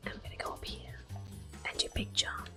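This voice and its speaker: A woman giving gentle, soft-spoken ASMR gameplay commentary in a breathy, unhurried whisper. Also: soft background music. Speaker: gameplay host.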